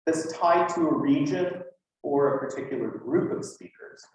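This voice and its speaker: A man's voice: on the high side, moderate pace. Western Canadian man lecturing in an echoey room. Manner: conversational, rhetorical questions, dry asides. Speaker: conference speaker, linguist. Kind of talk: informal academic lecture to an audience. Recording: very echoey room, distant mic.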